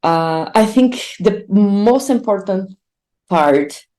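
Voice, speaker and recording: Brazilian-accented woman presenter on a video call, unhurried and soft-toned, hesitant with frequent ums and restarts. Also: mid-range voice, lively intonation. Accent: Brazilian Portuguese. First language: Portuguese. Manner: hesitant, many fillers and restarts. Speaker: conference speaker. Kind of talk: online conference talk.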